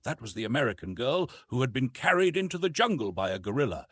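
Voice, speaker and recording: Male audiobook narrator, middle pitch with very lively movement, husky tone, dry close recording. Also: fast, no room sound. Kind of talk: scripted narration of an adventure novel.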